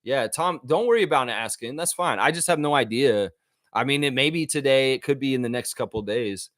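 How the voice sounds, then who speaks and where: A man with a mid-pitched voice hosts a live sports fan show. He chats informally with viewers, thinking out loud as he goes. The sound is dry, with very little room echo. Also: a little fast. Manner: informal, conversational, thinking aloud. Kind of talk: casual live chat with viewers, reading comments aloud.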